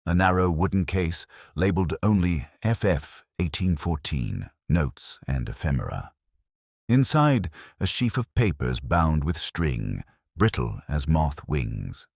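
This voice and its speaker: A man narrating a literary detective drama in the first person, measured, brooding and reflective, as a detective recounting a case. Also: extremely low pitch, big pitch swings, vocal fry, no echo, studio quality.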